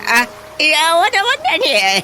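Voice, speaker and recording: A man's voice: very high voice, huge pitch swings, background noise, exaggerated voice. A man with an Ilocano accent playing a flustered, stammering husband and father in a radio comedy-drama. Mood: flustered, evasive. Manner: halting, stammering, exclaiming. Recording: loud music behind the voice.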